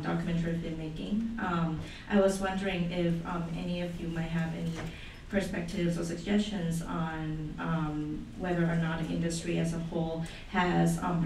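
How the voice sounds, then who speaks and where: A woman's voice: fairly low pitch, vocal fry, a little mellow, slight background noise. Distant woman in a very echoey hall. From Thailand. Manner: hesitant, careful. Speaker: audience member asking a question.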